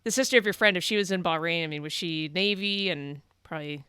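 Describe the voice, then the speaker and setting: A woman's voice: on the low side, fast talker, pushed and bright, clean tone, no echo, studio quality. A woman hosting a podcast, chatty and encouraging as she interviews her guest. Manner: chatty and encouraging, with frequent fillers and laughs. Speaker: podcast host, Navy reservist. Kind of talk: casual interview conversation.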